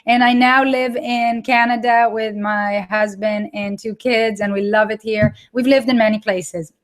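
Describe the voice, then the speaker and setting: A woman's voice: a little high, steady tone, measured pace. A middle-aged woman with an Israeli accent, hosting a live webinar and chatting casually with viewers, repeating words for emphasis. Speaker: online course creator hosting a live webinar.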